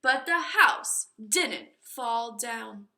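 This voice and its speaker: High-pitched woman reading a storybook aloud. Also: expressive, extremely slow, bright, forceful tone. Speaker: storybook reader. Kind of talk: expressive children's read-aloud narration. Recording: echoey room.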